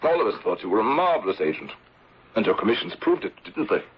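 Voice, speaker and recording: An actor playing a courtly older insurance manager in a radio drama, speaking in a measured, formal, paternal way with a husky voice. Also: male voice, on the low side, very animated. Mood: fatherly concern. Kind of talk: 1970s radio drama acting. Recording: dull lo-fi old radio broadcast.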